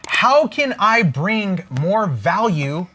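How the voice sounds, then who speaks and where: Male business coach, slightly high voice with big swings in pitch, emphatic whiteboard pitch to viewers. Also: big pitch swings, slow pace, pushed and bright, a little hiss or hum. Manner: emphatic, keeps asking "right?" and "okay?". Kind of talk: motivational training at a whiteboard. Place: room with a whiteboard.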